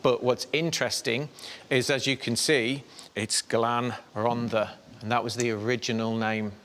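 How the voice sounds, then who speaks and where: A man's voice: medium pitch, little pitch movement, a little slow, fairly bright tone, long reverb tail. A man acting as a museum guide, explaining history informatively with some hesitant fillers, indoors in a museum. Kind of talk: explaining to a presenter.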